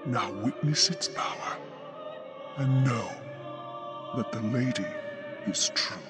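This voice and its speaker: A man's voice: mid-range voice, slow, over loud music, lightly acted. An actor playing a fanatical cult herald in a fantasy game, preaching devotion to his lady in grand, zealous oratory. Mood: triumphant. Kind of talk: dramatic fantasy game voice acting.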